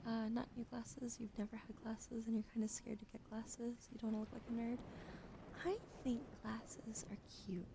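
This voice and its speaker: A young woman whispering softly and slowly to viewers on an ASMR stream, close to a sensitive microphone at her desk. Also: fairly high pitch, gravelly, slight background noise. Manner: soft unvoiced whisper, slow and soothing.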